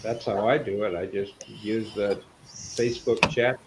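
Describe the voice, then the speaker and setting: Older man, low voice, noisy recording. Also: fairly even intonation, unhurried. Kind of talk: casual chat.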